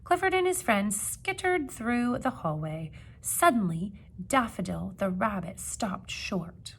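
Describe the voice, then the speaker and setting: A woman teacher giving an expressive storybook read-aloud, with teaching asides and questions for young listeners. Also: medium pitch, slow pace, breathy edge.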